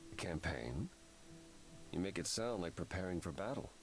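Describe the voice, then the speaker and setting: Game role: frank, deliberate immortal male, low voice, lively inflection. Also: soft background music. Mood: grave, frank. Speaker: voice actor. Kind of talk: dramatic game dialogue.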